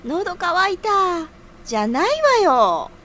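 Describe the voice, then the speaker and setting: A woman's voice: high voice, big pitch swings, takes it slowly, a bit bright, full character voice. A woman playing an impatient, bossy but caring friend in an audio drama, pouting and exclaiming. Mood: scolding.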